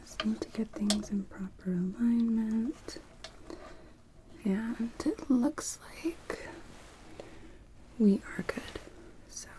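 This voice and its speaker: A woman speaking in a soft whisper, gently giving step-by-step instructions as an optometrist in an ASMR medical roleplay. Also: mid-range voice, slight background noise, low-quality recording. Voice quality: soft, mostly unvoiced whisper.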